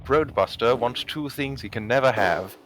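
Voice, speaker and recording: A man's voice: medium pitch, normal speed, fairly bright tone, a little hiss or hum. A man reading a comedic fan comic as narrator in a wry, conversational way. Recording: music bed behind voice. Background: music.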